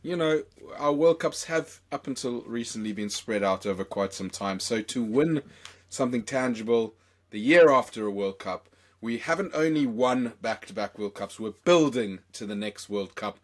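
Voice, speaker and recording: A young man from South Africa hosting a casual podcast, hoarse from a chest infection, rambling and excitable with many fillers. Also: mid-range voice, moderate pace. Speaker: podcast host.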